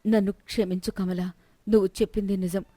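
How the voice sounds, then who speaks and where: A woman's voice: mid-range voice, flat delivery, a little fast, warm tone, no room sound, pitched below the performer's own voice, close to an everyday voice. A woman voicing a proud, domineering older mother-in-law in a family moral story. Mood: remorseful. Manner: bossy.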